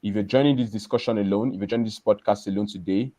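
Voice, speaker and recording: A man's voice: on the low side, fairly even intonation, fast talker, dark tone, no echo. A man hosting a live business podcast, talking loosely with many restarts and repeated words. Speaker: podcast host.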